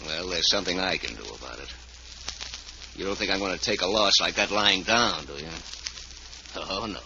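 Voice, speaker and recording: Menacing male investor who lost everything, very sharp voice, noisy vintage radio sound. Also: sits low, animated, moderate pace, vocal fry, heavily acted. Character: bitter, ruined male investor, quietly menacing. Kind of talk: 1940s radio acting. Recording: dull, noisy 1940s radio recording.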